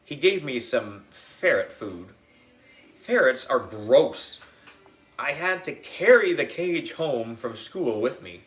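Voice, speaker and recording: A man reading a children's book as a young boy narrator, expressive, dramatic and playful. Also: medium pitch, slow, echoey room, faint music behind. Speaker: audiobook reader.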